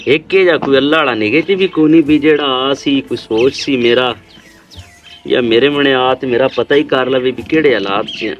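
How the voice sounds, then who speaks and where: A man's voice: mid-range voice, a little fast, natural voice. A man with a rural Pakistani Punjabi accent acting a poor, proud, worried village father in a weary, rambling way. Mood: despairing. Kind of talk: amateur moral drama acting. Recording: dull lo-fi video with faint music.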